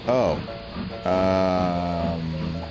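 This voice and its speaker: A low-pitched, smug steam engine acted by a man who speaks with ominous deliberation, as if spinning a dark tale. It is an audio drama character, with music clearly behind the voice. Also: flat delivery, extremely slow, low-quality recording. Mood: casual. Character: scheming, smug male engine, spinning ghost tales. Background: music, cricket and insect night sounds.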